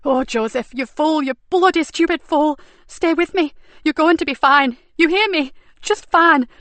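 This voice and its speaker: A woman voicing a fierce female police detective, talking loudly and forcefully. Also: sits high, unhurried, no echo, voice pushed up. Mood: desperate. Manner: loud, forceful, desperate.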